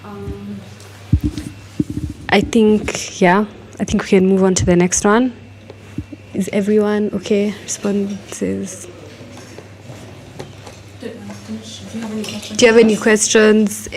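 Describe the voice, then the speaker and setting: A woman's voice: medium pitch, little pitch movement, takes it slowly, long pauses, darkish tone, rough recording. A woman casually facilitating a group session and checking in with those present.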